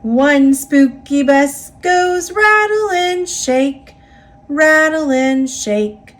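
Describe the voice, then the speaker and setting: A high-pitched woman with an American accent reads a picture book for young children. She takes it very slowly, with expressive, deliberate phrasing and a rhythmic storytelling delivery. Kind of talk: read-aloud children's story narration, partly sing-song.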